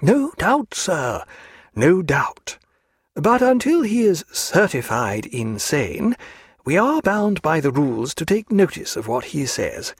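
A man voicing a fussy, polite government clerk who speaks slowly and apologetically. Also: fairly high pitch, huge pitch swings, husky, no room sound, clean studio sound, voice pushed up, heavily acted.

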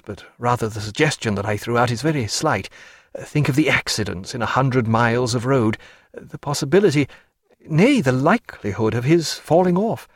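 A man voicing a wry, learned gentleman physician who speaks in a measured way. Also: mid-range voice, breathy edge, no room sound, clean studio sound.

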